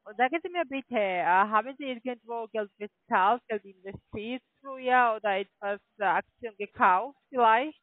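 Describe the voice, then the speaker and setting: A woman's voice: fairly high pitch, moderate pace, hardly any pauses, no echo. A woman call centre agent who is not a native German speaker, mumbling insistent sales questions. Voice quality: mumbled, indistinct diction. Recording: dry narrow phone line.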